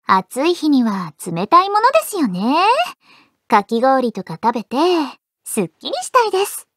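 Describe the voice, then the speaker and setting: High, bubbly anime girl. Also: sing-song, normal speed, a bit bright, no room sound, clean studio sound, full character voice. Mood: cheerful, playful. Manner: playful, coy, cutesy. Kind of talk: Japanese anime mobile game character lines.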